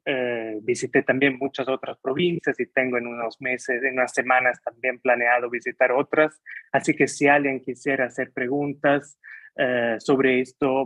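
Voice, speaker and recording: A man's voice: medium pitch, fairly bright tone, no room sound. A young man whose first language is Italian, giving a lecture over a video call, hesitant with many fillers and restarts. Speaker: guest lecturer.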